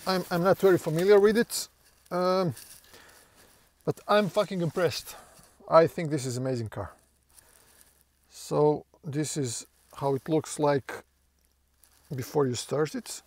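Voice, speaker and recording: A man's voice: on the high side, darkish tone. A man, rambling casually and hesitantly through a review of his new car from inside it, swearing now and then. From Croatia. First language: Croatian. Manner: loose, hesitant, full of fillers and restarts, swears casually.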